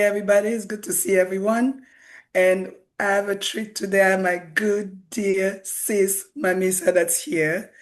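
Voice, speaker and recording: A woman hosts a relaxed online talk show, her voice hoarse and close to gone. She chats freely, circling back over the same points as she opens the conversation. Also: mid-range voice. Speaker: host.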